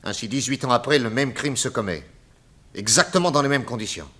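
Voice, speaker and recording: An actor playing an assured, courteous private detective in an articulate, theatrical 1960s French radio serial style. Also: male voice, medium pitch, expressive, brisk, a bit bright, close to an everyday voice. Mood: insistent. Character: famous male private detective, assured, indignant under accusation.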